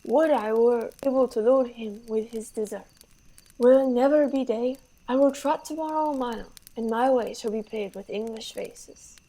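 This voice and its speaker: A woman's voice: fairly high pitch, moderate pace, warm tone, poor audio. A young woman acting a vain, horse-besotted young French prince in a Shakespeare radio play, grandiose and declamatory. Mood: impatient, bragging.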